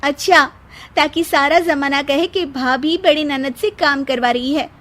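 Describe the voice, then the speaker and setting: A woman's voice: high voice, unhurried, fairly bright tone, pitched above the performer's own voice. A woman voicing a scheming young wife in a cartoon moral story, sweet-talking and complaining by turns. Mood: nagging, complaining. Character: scheming, sugary, whiny young wife and sister-in-law.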